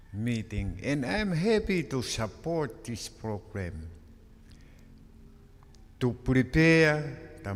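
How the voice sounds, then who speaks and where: A man in his seventies with a Tongan accent, speaking haltingly as he addresses a meeting. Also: mid-range voice. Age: 70s. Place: community hall. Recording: echoey hall.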